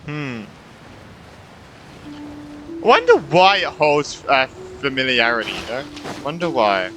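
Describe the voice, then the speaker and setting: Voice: mid-range voice, sing-song, slow. A gaming streamer giving casual, joking commentary on a playthrough, swearing and thinking aloud, with game music behind.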